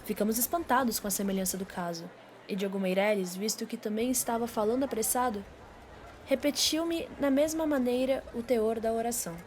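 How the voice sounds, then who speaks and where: Young Brazilian woman narrator, fairly high voice. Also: brisk, darkish tone. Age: teenager or young adult. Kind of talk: scripted literary narration for student radio drama. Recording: faint background noise.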